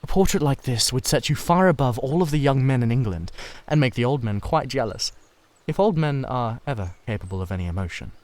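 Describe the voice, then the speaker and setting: A man's voice: mid-range voice, lively intonation, fairly quick, a little mellow, natural voice. A languid aristocrat acted by a male reader holds forth in a classic novel reading. He is witty and vain, with a pompous delivery that stretches out the emphatic words. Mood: amazed, chiding.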